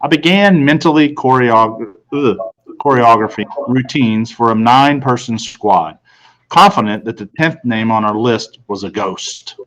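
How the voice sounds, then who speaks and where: A man's voice: medium pitch, unhurried, a bit bright, low-quality recording. A man reading a first-person storybook narration aloud. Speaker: audiobook reader.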